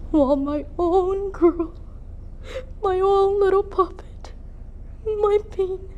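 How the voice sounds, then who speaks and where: A woman's voice: high voice, a little mellow. A woman performing a dramatic monologue as a grieving, bitter, proud young noblewoman mother, with an emotional theatrical delivery. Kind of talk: dramatic monologue for speech competition.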